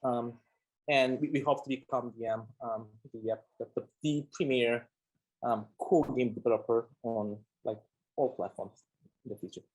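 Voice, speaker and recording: A man who speaks English as a second language, introducing himself at a remote panel, halting and full of fillers and restarts. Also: mid-range voice, darkish tone, breathy edge, rough recording. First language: Korean. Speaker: game company executive.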